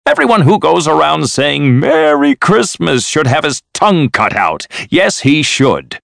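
A man's voice: a little high, very animated, measured pace, vocal fry, fairly bright tone, no echo, studio quality, pitched above the performer's own voice, full character voice. A man voicing a mean, snappish old miser. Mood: angry, scornful. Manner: curt, scornful, snapping. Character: hard, mean, bitter old male miser. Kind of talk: storybook character voice.

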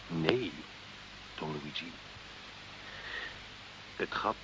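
An actor playing a roguish, jovial parishioner in a radio drama, talking in a breezy, colloquial and cheeky way. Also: male voice, a bass voice, extremely slow, exaggerated voice. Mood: sly, jovial. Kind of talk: Dutch radio drama acting. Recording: old muffled radio recording, noisy hiss.

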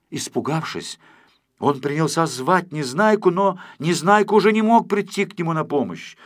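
A man narrating a children's story as a dramatized audiobook, in an expressive, dramatic way. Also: on the high side. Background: music.

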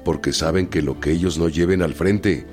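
A man's voice: deep voice, normal speed, a little mellow, soft background music, close to an everyday voice. A man with a Mexican accent playing a frank, folksy, bold revolutionary colonel in a radio drama. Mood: frank, insistent.

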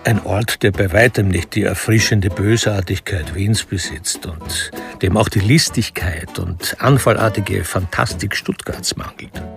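An actor as the sober narrator of a radio detective drama, reading literary prose in a gravelly, creaky voice. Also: male voice, low-pitched, measured pace, few pauses, soft background music. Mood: sober, detached.

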